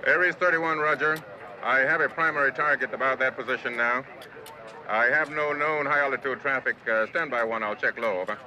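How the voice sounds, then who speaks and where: A man's voice: mid-range voice, steady tone, pushed and bright, poor audio. A man playing a focused air traffic controller in a film, giving clipped, businesslike instructions with small hesitations. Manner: measured, businesslike, with hesitant pauses. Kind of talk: clipped procedural radio talk in a film.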